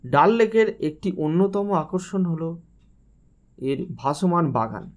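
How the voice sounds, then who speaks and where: A man's voice: on the high side, very animated, unhurried. A man reading formal, descriptive scripted travel narration.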